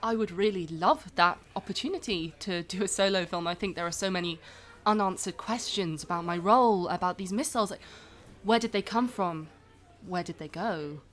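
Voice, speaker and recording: A woman's voice: on the low side, normal speed, natural voice. A woman playing a pampered, overworked film star being interviewed in a comedy podcast. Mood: polite, promotional. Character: pampered, overworked film star who cracks under press tour. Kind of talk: improvised-sounding comedy podcast interview acting.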